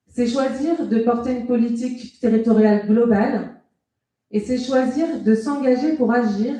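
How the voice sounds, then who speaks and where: Echoey, mellow-voiced woman giving a political speech. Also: a little high. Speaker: local councillor giving a campaign speech. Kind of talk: prepared political speech at a public meeting. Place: indoor hall at a public meeting. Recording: very echoey public meeting room.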